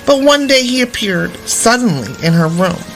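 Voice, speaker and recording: A woman's voice: on the high side, animated, moderate pace. A woman storyteller reading a fairy tale aloud expressively. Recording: music behind the voice. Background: background music.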